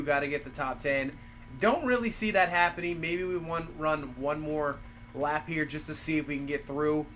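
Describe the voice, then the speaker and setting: Bright-voiced man with a cold. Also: on the high side, a little hiss or hum. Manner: rambling, many fillers like obviously and for sure. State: has a cold. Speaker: gaming channel host. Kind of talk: casual gameplay commentary.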